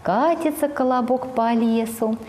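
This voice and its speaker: Woman in her 40s, speech therapist, coaxing storytelling, mellow voice, long studio reverb, lapel mic. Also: a little high, a little hiss or hum. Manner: slow, sing-song, prompting the child to finish words. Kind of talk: telling a fairy tale to a small child.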